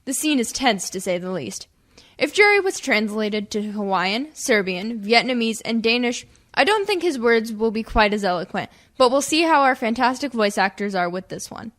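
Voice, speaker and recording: A woman hosting a radio comedy with scripted, clearly enunciated, showy presenter narration. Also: on the high side, lively intonation, moderate pace, fairly bright tone.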